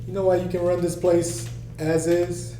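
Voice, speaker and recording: A man's voice: sits high, completely flat delivery, normal speed, dark tone, lightly acted. A man playing a stern but caring middle-aged father and restaurant boss, scolding and reassuring by turns, in a screenplay table read. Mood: firm. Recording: echoey room, distant mic, faint hum.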